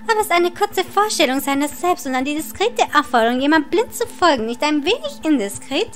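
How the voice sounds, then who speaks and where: A haughty, nagging fantasy maiden, acted by a woman, with an extremely high and animated voice. She argues in formal phrases in an audio drama, with music behind her. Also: fairly quick. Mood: indignant. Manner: indignant, formal, argumentative. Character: haughty, nagging beautiful maiden awaiting rescue.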